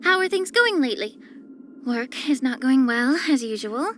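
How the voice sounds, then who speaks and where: A woman voicing a poised, soft-spoken, subtly sly young female healer in a video game, with measured, gentle, composed delivery. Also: sits high, lively intonation, slight background noise. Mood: gently teasing. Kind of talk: scripted character voice lines.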